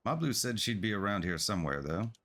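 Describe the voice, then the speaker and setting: A man's voice: lowish voice, big pitch swings, fast, fairly bright tone. A man who streams games, reading quest dialogue aloud. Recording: music behind the voice.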